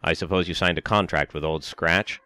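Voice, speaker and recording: An actor playing a concerned elderly husband who gently coaxes and reassures his wife. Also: low-pitched, steady tone, normal speed. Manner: coaxing, reassuring.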